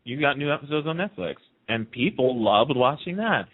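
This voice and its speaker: A man's voice: medium pitch, lively intonation, moderate pace. A man hosting a talk show, chatting casually and giving opinions emphatically, often repeating a point for emphasis. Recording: narrow, muffled phone-like sound.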